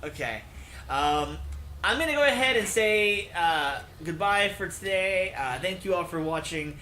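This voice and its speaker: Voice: mid-range voice, animated, measured pace, a little hiss or hum. A let's player narrating a visual novel and commenting casually, recorded in an echoey room. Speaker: video game let's player. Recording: echoey room under loud music. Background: loud instrumental music with guitar.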